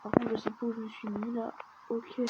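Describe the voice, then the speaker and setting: A woman's voice: fairly high pitch, fairly quick. A woman giving casual let's-play commentary, chatting and muttering to herself as she plays, often dropping to a near-whisper. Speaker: gaming video creator. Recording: dull lo-fi mic with steady background noise.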